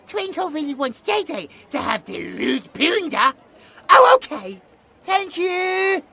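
A man's voice: very high-pitched, very animated, slow pace, vocal fry, pushed and bright, slight background noise, heavily acted. A British man voicing a childlike possessed young man who talks in broken baby-talk about himself in the third person, in an ASMR roleplay. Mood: childlike, excited.

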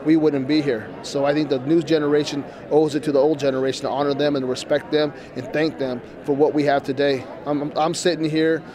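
A man's voice: fairly high pitch, level tone, brisk, hardly any pauses, a crowd in the background. A young man answering interview questions earnestly, often repeating words, in a convention hall. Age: young adult.

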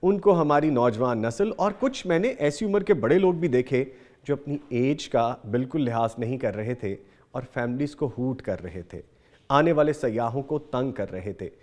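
A man's voice: mid-range voice, animated, normal speed, darkish tone. Earnest man hosting a morning show. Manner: earnest, formal and courteous. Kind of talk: TV show presenting. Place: TV studio set.

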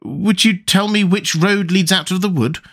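A man's voice: sits high, moderate pace, a bit bright, pitched above the performer's own voice. A man voicing a polite, puzzled young girl with a raised, light voice in a storybook reading.

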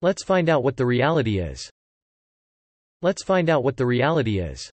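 A man's voice: medium pitch, sing-song, moderate pace, darkish tone, no echo. A man narrating an English lesson, reading example sentences and definitions aloud and repeating each one evenly.

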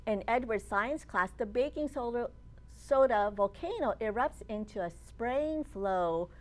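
A woman in her thirties, a children's librarian hosting storytime, reading aloud expressively and deliberately with dramatic emphasis for young children. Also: fairly high pitch, lively intonation, darkish tone. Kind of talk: animated read-aloud of a picture book for young children. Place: open library children's area, indoors.

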